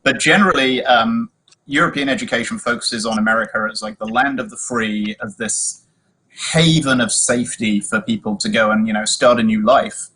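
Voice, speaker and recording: A man's voice: medium pitch, normal speed, bright, forceful tone, a little hiss or hum, poor audio. A young man with a British accent giving thoughtful, conversational commentary as a panel guest on a video call from home. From Britain. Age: young adult. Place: small room at home, indoors.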